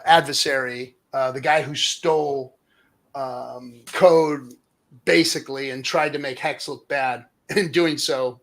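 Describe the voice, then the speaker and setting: A man's voice: medium pitch, a bit bright. A man hosting a casual livestream chat, talking in a rambling, loose way that drifts between topics. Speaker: livestream host.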